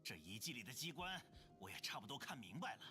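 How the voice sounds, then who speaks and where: A woman's voice: extremely low pitch, very animated, quick pace, pushed and bright, faint music behind, full character voice. A woman playing a haughty, greedy, argumentative expedition client in a video game, indignant and entitled. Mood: defiant. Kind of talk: anime-style video game voice acting.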